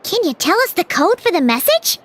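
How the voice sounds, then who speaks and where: A woman voicing a tiny floating girlish companion who is bubbly, blunt and quick to exclaim and tease. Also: extremely high pitch, sing-song, a bit bright, heavily acted. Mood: curious. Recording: game audio with background noise.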